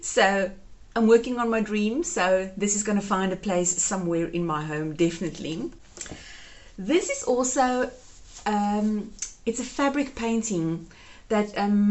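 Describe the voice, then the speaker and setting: Woman, 30s, first language Afrikaans, home organizing vlogger, chatty, gushing, medium pitch. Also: measured pace, darkish tone. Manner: chatty, gushes over keepsakes. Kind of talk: casual decluttering vlog.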